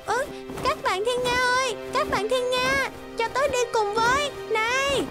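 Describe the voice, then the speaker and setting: A lazy, childlike swan from a cartoon fairy tale, speaks in a very high voice. The delivery is exclamatory and gushing, over clear background music. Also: female voice, a little slow. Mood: desperate calling. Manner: exclamatory, gushing and self-pitying. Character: lazy pink swan, childlike, gleeful then whiny. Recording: music under the voice.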